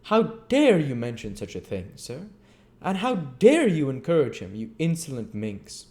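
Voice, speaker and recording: A man's voice: mid-range voice, huge pitch swings, takes it slowly, pitched above the performer's own voice. A man voicing a pompous, indignant middle-aged parish beadle who blusters and moralizes sternly. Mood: outraged.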